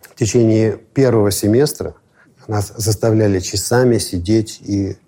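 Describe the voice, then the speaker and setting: Low, mellow-voiced man telling a story. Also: steady tone, moderate pace. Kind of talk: casual storytelling.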